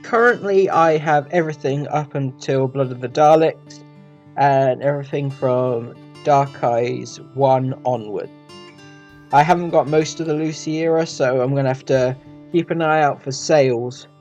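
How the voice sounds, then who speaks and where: A man's voice: mid-range voice, measured pace, a little mellow, highs cut off, faint music behind. A man giving a casual review commentary as a video reviewer.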